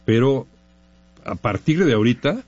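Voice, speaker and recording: A man's voice: mid-range voice, lively intonation, normal speed, darkish tone, dry recording, lo-fi sound. A man hosting a radio show, chatting casually about football and often checking that his co-host agrees. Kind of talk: casual sports chat.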